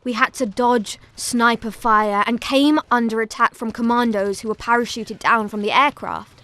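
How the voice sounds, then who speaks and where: Woman, fairly high voice, solemn, measured, scripted first-person audio drama narration. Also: sharp tone, no room sound.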